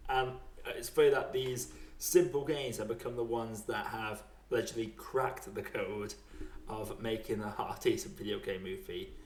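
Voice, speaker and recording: A man's voice: lowish voice, steady tone, moderate pace, slight background noise. A British man chatting casually and informally as the host of a film podcast. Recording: roomy echo.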